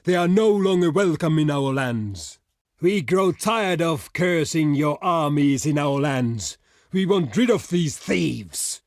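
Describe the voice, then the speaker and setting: Slow, solemn barbarian envoy. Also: male voice, on the high side, animated, no room sound, clean studio sound. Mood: stern. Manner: solemn, formal, declamatory, measured delivery. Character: proud, stern male barbarian envoy of a warrior people. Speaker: diplomat character in a strategy game. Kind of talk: theatrical video game voice lines.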